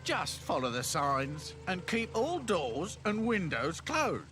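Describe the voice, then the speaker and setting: An officious gatekeeper from a children's story, put on by a man. He speaks in a raised, sing-song voice, deliberately spelling out each instruction. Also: high-pitched, huge pitch swings, pushed and bright, faint music behind, pitched above the performer's own voice, heavily acted. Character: officious male safari park gate attendant.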